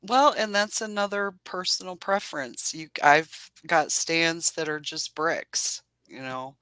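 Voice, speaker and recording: A woman's voice: low voice, a little slow, bright, forceful tone, no room sound. A woman beekeeper with a soothing voice, explaining patiently and laughing often in a casual interview. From Indiana. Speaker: beekeeper guest.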